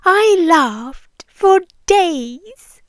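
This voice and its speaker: Woman as a slow-speaking, possessive British monster queen. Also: very high voice, sing-song, no echo, exaggerated voice. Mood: fond, teasing. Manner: slow, hushed, teasing, often dropping into whispers. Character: possessive immortal monster queen, amused and coaxing, wanting a human husband. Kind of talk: intimate ASMR roleplay.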